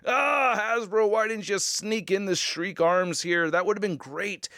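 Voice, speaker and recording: A man with a fairly high voice hosts a casual action figure review. He talks in an informal, chatty way and shares his opinions freely. The sound is dry, with little room around the voice. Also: steady tone, unhurried, pushed and bright, smooth, dry recording. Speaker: host of a toy review channel.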